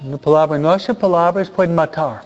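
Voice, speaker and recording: A priest delivering an earnest sermon in Spanish, often pausing for rhetorical questions. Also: male voice, fairly high pitch, slight background noise. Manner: earnest, preaching with repeated pleas and rhetorical questions. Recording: dull lo-fi sound.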